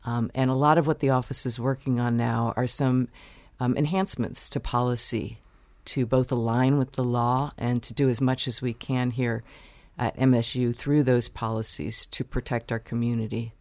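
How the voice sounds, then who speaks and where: Woman guest, from the US, measured and explanatory, close dry mic. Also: extremely low pitch, steady tone, a little mellow, no echo. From United States. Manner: measured, explanatory, pauses to choose words. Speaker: university vice president, interview guest. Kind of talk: interview answers.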